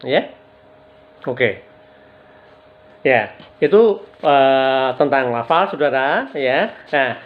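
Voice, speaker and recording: A middle-aged man from Java with a Javanese accent, giving a recorded university lecture in a measured way, stretching key words and often addressing his students directly. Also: on the high side. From Java, Indonesia. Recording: dull lo-fi recording.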